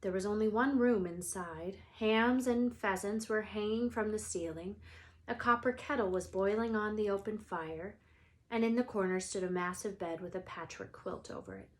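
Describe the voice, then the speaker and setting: A woman's voice: mid-range voice, moderate pace. A woman narrating a children's book as a read-aloud storyteller. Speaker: storybook reader.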